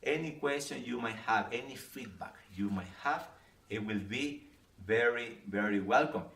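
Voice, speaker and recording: A man's voice: medium pitch, moderate pace, vocal fry, fairly bright tone. A middle-aged man from Ecuador, a native Spanish speaker with an Ecuadorian accent, giving friendly travel-packing advice to camera and often checking in with "okay?". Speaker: travel guide. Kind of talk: casual explainer to camera. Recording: roomy sound from a distance, faint ambient noise.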